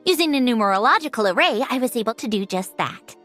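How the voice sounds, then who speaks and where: Haughty, high-voiced young genius. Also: female voice, lively intonation, fast talker, a bit bright. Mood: smug, lecturing. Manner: haughty, dry, lecturing. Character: proud, aloof, sharp-tongued young female genius. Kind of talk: video game voice acting. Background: background music.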